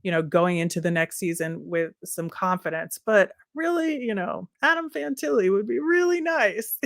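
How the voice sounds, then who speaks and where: A woman's voice: medium pitch, animated, normal speed, gravelly, no echo, studio quality. A woman co-hosting a sports podcast, talking casually and conversationally, often hedging with little asides.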